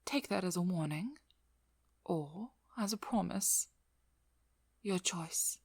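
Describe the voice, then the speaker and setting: A woman playing a stern, wry, protective vampire hunter in an intimate ASMR role-play, speaking in a measured, firm voice that often drops to a whisper. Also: on the low side, creaky, darkish tone, breathy edge, no echo, clean studio sound, exaggerated voice. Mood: commanding, teasing. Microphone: close microphone.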